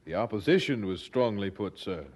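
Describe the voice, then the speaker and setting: A man's voice: on the low side, animated, moderate pace, a little mellow. A man acting an earnest, resolute eighteenth-century preacher in an audio drama, speaking formally and emphatically. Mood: firm, indignant.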